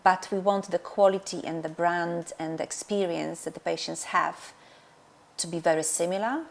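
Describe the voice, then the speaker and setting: This is a middle-aged woman with a Polish accent and a low voice that has a gravelly edge. She talks about her work as a dentist in an interview, pausing often with fillers and restarting her sentences. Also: fairly even intonation. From Poland. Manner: hesitant, many fillers and restarts. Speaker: dentist and practice owner. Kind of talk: interview answers.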